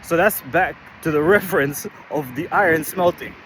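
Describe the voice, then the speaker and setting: A man from Malawi giving an explanatory talk, speaking deliberately in short, measured phrases with pauses. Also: a little high, fairly bright tone, a little hiss or hum. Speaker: musician.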